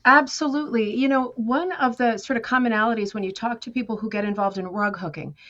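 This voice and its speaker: A woman's voice: fairly high pitch, quick pace, fairly bright tone, dull audio. An American woman telling her story as a guest in a conversational interview, chatty and self-deprecating. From United States. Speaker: guest artist.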